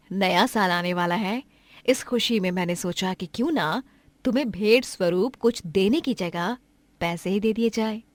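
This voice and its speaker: A woman voicing a kind, generous lady of the house in a cartoon moral story, chatty and gently chiding. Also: mid-range voice, measured pace, dry recording, natural voice. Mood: generous, friendly.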